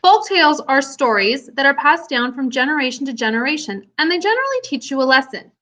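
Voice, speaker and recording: A woman's voice: high-pitched, very animated, fast, pushed and bright. A woman teacher hosting and narrating a scripted storybook tale for children. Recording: dull lo-fi recording.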